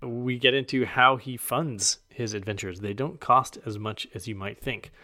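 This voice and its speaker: A man's voice: mid-range voice, fairly even intonation, normal speed, sharp tone, no room sound. Dry, close-sounding male podcast host. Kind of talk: podcast introduction and interview.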